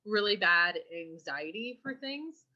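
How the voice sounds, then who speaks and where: A young woman from California, a podcast co-host, chatting casually and slangily while she keeps clearing her throat. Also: medium pitch, expressive, a little slow. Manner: chatty, slangy, swears freely. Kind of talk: casual chat. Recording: muffled lo-fi recording.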